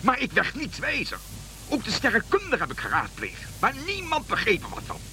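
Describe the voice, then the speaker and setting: Radio drama: elderly dreamer king, bright and animated, stammering, excitable, full of faith. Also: extremely high pitch, moderate pace, a little hiss or hum, heavily acted, rough recording. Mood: earnest, musing. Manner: excitable, stammering, repeating himself. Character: old Balthasar, fervent dreamy wandering king following a star. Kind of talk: Dutch radio play acting.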